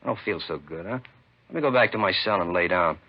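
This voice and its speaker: An actor playing a weary, evasive petty crook under questioning in a 1950s radio crime drama, slangy and grumbling. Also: male voice, sits low, animated, fast talker. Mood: complaining. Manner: slangy, grumbling, feigning helpfulness. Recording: dull 1950s radio recording.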